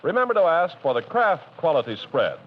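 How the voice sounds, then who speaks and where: A man reads scripted ads and narration as a radio announcer. He delivers it crisp and emphatic, with a lively, rising pitch. The old broadcast sounds dull and muffled. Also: brisk, very noisy.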